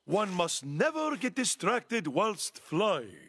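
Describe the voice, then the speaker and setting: A man's voice: high-pitched, very animated, takes it slowly, fairly bright tone, breathy edge, no echo, exaggerated voice. A man voicing a protective, fussy but loving vampire father in a cartoon, formal and melodramatic in his delivery. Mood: stern, worried. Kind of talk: animated cartoon acting.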